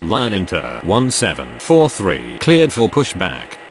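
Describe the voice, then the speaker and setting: Low-voiced man playing an aviation radio operator, noisy. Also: wildly swooping pitch, slow pace, exaggerated voice. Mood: procedural. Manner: formulaic, procedural. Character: businesslike male aviation radio operator in a game. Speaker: air traffic radio voice, pilot and controller. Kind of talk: scripted radio phraseology. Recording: noisy audio with background rumble.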